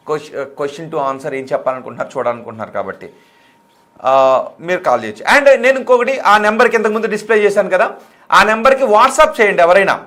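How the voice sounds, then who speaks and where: A male live TV debate host with a notably high voice. He talks rapidly and insistently, circling back to repeat his appeals, and drops English words into his speech. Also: fairly bright tone. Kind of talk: live call-in debate, addressing viewers.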